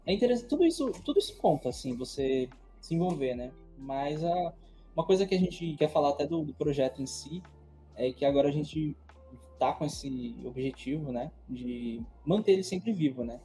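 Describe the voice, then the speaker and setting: A man's voice: on the high side, animated, normal speed, mellow, faint music behind. A young man, co-hosting a casual podcast chat and explaining things in loose, meandering sentences with many restarts. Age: young adult. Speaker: co-host.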